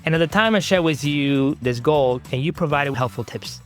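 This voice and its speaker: A man's voice: fairly high pitch, moderate pace, soft background music. Earnest male podcast host. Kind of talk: conversational interview.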